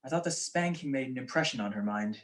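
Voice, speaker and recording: A man plays a grumpy married gentleman detective from an old radio mystery. He is sardonic and full of wisecracks, with swooping, animated intonation and a mid-range voice. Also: mid-range voice, quick pace, lightly acted. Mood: brisk, wry. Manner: sardonic, dry wit. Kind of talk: 1940s radio comedy-mystery acting.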